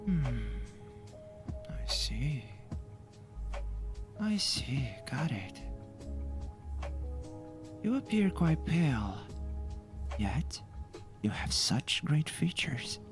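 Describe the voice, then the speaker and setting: A man playing a flamboyant, teasing ghoul mask maker in an anime audio roleplay, theatrical and playful with breathy asides. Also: a little high, sing-song, drawn-out words, pauses often, heavily acted. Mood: intrigued, playful. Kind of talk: anime fan audio roleplay with ASMR touches. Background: faint music.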